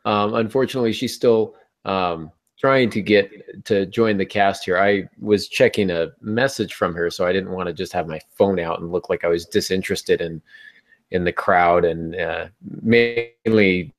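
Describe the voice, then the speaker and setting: An American man in middle age with a fairly low voice talks as a podcast host. He explains things in a conversational way, as if on a live video call from a small room at home. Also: fairly even intonation, moderate pace. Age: middle-aged.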